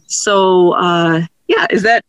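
A woman's voice: on the low side, takes it slowly, clean tone, no room sound. A woman interviewed as a writer, chatting informally and tentatively as she thinks aloud. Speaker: audio drama writer, interview guest. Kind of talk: casual interview chat.

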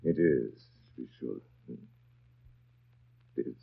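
A lo-fi, muffled 1930s radio drama recording of a brooding master of a manor. His voice is very low and commanding. He muses theatrically in clipped asides, teasing yet tender. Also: expressive, takes it slowly, creaky, exaggerated voice.

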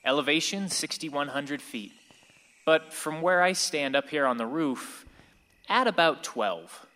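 Sixteen-year-old boy, bright voice, reverberant hall, wistful radio play narrator, angsty, reflective. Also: a little high, expressive, unhurried. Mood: storytelling. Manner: reflective, storytelling to the listener.

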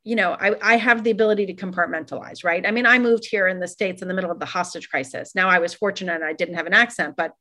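A middle-aged woman from Iran, talking fast and animatedly as an interview guest, with many fillers and restarts. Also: lowish voice, bright, forceful tone. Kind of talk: reflective interview conversation.

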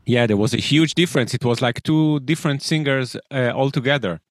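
A man at an ordinary, middle pitch hosts an interview. He asks long, earnest questions with fillers. The voice sounds dry and close. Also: brisk, dry recording. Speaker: podcast host. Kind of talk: interview hosting.

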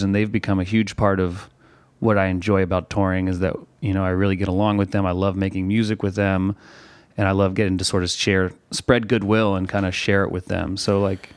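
Relaxed low-voiced man chatting. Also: dry recording. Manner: relaxed, rambling with fillers. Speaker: touring musician and songwriter guest. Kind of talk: casual podcast conversation.

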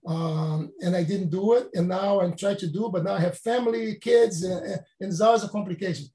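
A Brazilian-born professor in his late fifties whose first language is Portuguese, answering interview questions in halting, non-native phrasing with frequent restarts. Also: sits high, steady tone, mellow, echoey room, slight background noise. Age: 50s.

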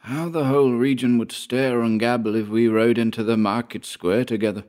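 Man playing a hoarse, halting injured landowner, pitched high. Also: steady tone, darkish tone, natural voice. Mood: halting, softening. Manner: slow, jerky, strained delivery. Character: Georg, injured forester landowner, proud, halting, softening old enemy.

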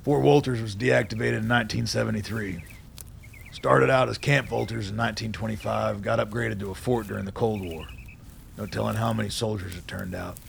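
An actor in a radio drama playing a blunt, profane old army colonel with a gravelly voice, ornery but philosophical and loyal. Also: male voice, on the low side, fairly even intonation, a little fast, slight background noise. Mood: wistful. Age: older. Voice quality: gravelly, creaky.

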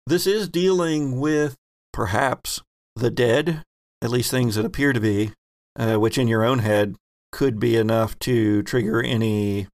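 Man, game master, gravelly, dry close sound, measured rule explanations. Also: medium pitch, no echo. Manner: measured, explains rules and rulings. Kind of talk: running a tabletop game.

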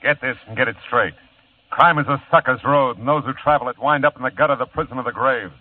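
A man's voice: medium pitch, quick pace. Stern man, old tinny radio announcer. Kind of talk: 1950s radio drama announcement.

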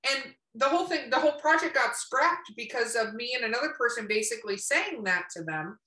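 A woman's voice: fairly high pitch, animated, a little fast, pushed and bright. A woman in a casual podcast chat, talking bluntly and emphatically and swearing freely. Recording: roomy echo, distant from mic.

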